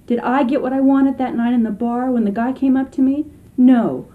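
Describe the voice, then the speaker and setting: Actress as indignant woman, high-pitched, muffled. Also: steady tone, normal speed, lightly acted. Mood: accusing, hurt. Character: lonely, indignant woman protesting at seminar. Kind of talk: satirical radio comedy acting.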